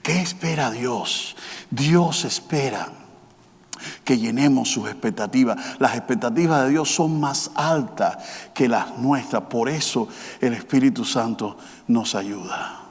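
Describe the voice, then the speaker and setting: A man preaching a sermon as pastor, with emphatic repetition, rising to loud passages and dropping to quiet, slow ones. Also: mid-range voice. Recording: long hall reverb.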